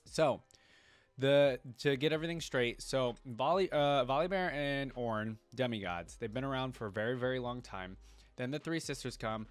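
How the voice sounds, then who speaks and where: A man hosting a reaction video in an informal way, reading aloud and thinking out loud as he comments. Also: mid-range voice, animated, moderate pace, clean tone, dry recording, soft background music.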